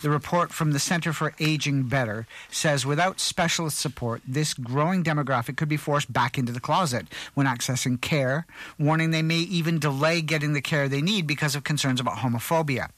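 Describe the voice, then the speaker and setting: A man's voice: a little high, fairly quick, a bit bright, no room sound. A middle-aged man reading a news story on a community radio show, with small slips and restarts. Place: radio studio.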